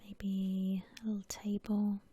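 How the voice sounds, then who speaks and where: Slow, breathy whispering woman. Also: mid-range voice, no echo. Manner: gentle, unhurried, relaxed. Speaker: video game streamer narrating her building session. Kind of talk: whispered ASMR game commentary.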